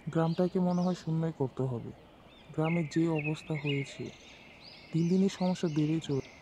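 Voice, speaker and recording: A man's voice: a little high, fairly quick, natural voice. A man playing an anxious, earnest villager in a cartoon folk tale. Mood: worried. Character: worried village man seeking help. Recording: clear noise, outdoor birds behind.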